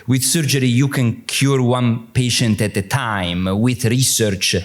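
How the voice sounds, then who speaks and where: A middle-aged man with an Italian accent, giving a conference panel talk as a surgeon, halting with many filler sounds. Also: mid-range voice, fairly even intonation. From Italy.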